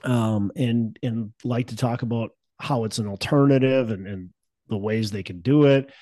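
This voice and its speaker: A man's voice: mid-range voice, steady tone, normal speed, dark tone, no room sound, clean studio sound. A man hosting a podcast in casual chat, with a stuffy nose and a cough, rambling with many fillers and restarts. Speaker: podcast host.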